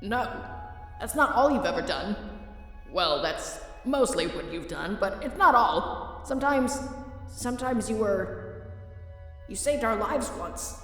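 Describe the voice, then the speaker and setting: A woman's voice: medium pitch, lively intonation, slow, long pauses, fairly bright tone. A woman playing an innocent, hopeful young skeleton boy in a comic dub, earnest and pleading. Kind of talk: dramatic fan comic dub. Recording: distant, very echoey reverb under music.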